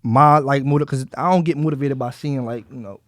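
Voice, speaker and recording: A man's voice: medium pitch, fairly quick, no echo, clean studio sound. A man with a Philadelphia accent hosting a casual interview in loose, slangy phrasing. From South Philadelphia, US. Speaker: interview show host.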